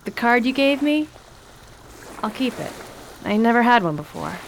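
A woman acting a rough-spoken, cheeky passenger in a radio drama. Her talk is blunt and slangy, and a faint hiss runs under the voice. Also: on the high side, sing-song, normal speed, poor audio.

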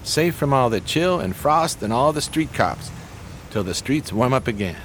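An actor playing a pompous, genteel homeless schemer in a 1920s radio play, speaking in flowery, courteous phrases. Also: male voice, mid-range voice, lively intonation, normal speed, fairly bright tone, a little hiss or hum, lightly acted. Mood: grandiose.

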